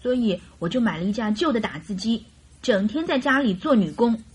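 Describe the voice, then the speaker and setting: An actress playing a chatty, naive and carefree young bride in a radio drama, with girlish, animated complaining and coaxing. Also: on the high side, a little fast, slightly rough. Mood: exasperated, chatty.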